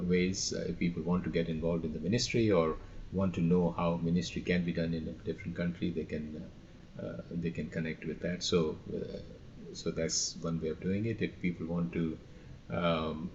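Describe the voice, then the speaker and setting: A man speaking as an interview guest, conversational, with halting and hesitant phrasing. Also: sits low, a little mellow. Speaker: podcast guest, missions worker. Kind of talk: casual interview talk. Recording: distant, dull mic with background noise.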